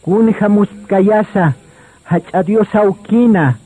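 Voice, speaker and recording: A man narrating a scripted religious radio drama in a solemn, deliberate manner. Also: high-pitched, breathy edge, no room sound, phone-quality sound. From Bolivia.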